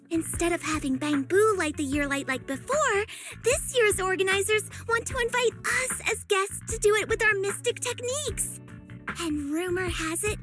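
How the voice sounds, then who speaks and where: Bubbly, bossy little senior-disciple girl for an anime game, extremely high voice, music underneath. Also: sharp tone, exaggerated voice. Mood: excited, gushing. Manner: bubbly, gushing. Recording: music playing behind the voice.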